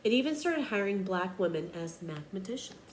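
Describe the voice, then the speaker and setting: A woman reading a children's picture book aloud like a teacher, with expressive, emphatic storytelling. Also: mid-range voice, a little fast, slight background noise.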